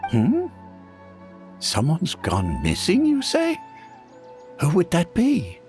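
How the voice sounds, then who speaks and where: A man's voice: on the high side, huge pitch swings, slow, darkish tone, faint music behind, exaggerated voice. A man voicing a polite, forgetful old village elder in a video game. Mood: puzzled. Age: older. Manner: polite, hesitant, apologetic.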